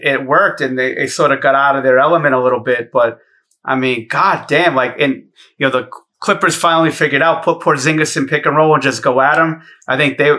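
A man's voice: mid-range voice, sharp tone. A man in his thirties co-hosting a sports podcast, ranting bluntly with heavy swearing. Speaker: podcast co-host. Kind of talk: profane sports podcast banter.

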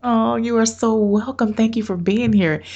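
A woman's voice: a little high, steady tone, normal speed, darkish tone, husky, muffled recording. A woman hosting an interview show, bubbly and effusive, laughing often and talking over a remote call. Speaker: podcast host. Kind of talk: interview chat.

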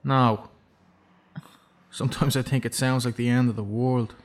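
Male actor as young convict, mid-range voice, sardonic, halting, awkwardly sorry, audio drama. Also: medium pitch, fairly even intonation, close to an everyday voice. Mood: wry, apologetic. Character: defensive, sardonic young prisoner, awkwardly apologetic.

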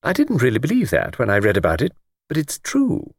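A man voicing a gentle, chatty old English lady in an audiobook, with a thin, quiet voice and a hesitant, rambling, genteel manner. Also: mid-range voice, huge pitch swings, fairly quick, dry recording, clean studio sound, pitched above the performer's own voice. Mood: troubled, confiding. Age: older. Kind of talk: audiobook character reading.

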